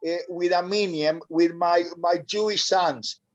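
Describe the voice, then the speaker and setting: A man in his sixties with a South African accent, chatting as a tour guide and often pausing to search for words. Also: high voice, fairly even intonation, muffled recording. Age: 60s. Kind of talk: conversational talk on a live virtual tour.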